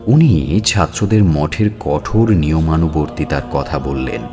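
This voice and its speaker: A man narrating a scripted audio story in a measured, expressive storytelling manner. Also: a bass voice, music in the background.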